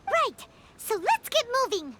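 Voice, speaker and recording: Squeaky, very high childlike fairy sidekick. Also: female voice, huge pitch swings, unhurried, a bit bright, a little hiss or hum, exaggerated voice. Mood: excited. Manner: exclamatory, indignant, curious. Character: small excitable childlike fairy companion, squeaky and blunt. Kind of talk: anime-style video game voice acting. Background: music.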